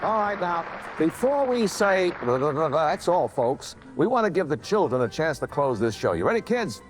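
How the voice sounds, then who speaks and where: A man's voice: a little high, very animated, moderate pace, a crowd in the background. A man hosting a tribute show, presenting a scripted television address.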